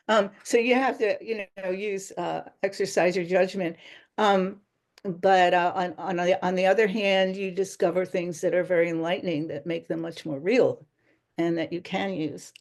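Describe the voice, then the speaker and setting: A woman's voice: on the low side, a little mellow. Woman, author guest, chatty and discursive, easy laughs. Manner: chatty, rambling, laughs often. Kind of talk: casual interview answers.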